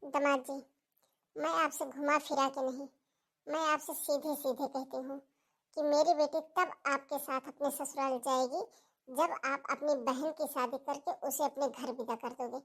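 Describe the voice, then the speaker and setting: A woman's voice: sits high, steady tone, moderate pace. A woman voicing a protective, blunt middle-aged mother in a cartoon family drama, speaking in a firm, plain way. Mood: firm, insistent.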